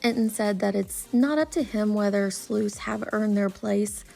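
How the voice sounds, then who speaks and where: A woman delivers scripted online commentary in an earnest, measured manner. Her medium-pitched voice is noticeably creaky. The tone stays mellow and dark, without any sharp edge. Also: faint music behind. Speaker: true crime YouTube commentator. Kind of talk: scripted commentary, reading and summarising an article.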